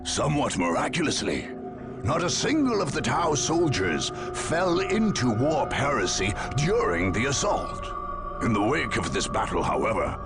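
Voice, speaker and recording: A man plays a grave chronicler narrating a video game war saga. His mid-pitched voice is measured and portentous, with expressive emphasis. Music plays clearly behind him. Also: close to an everyday voice. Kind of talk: dramatic scripted game narration.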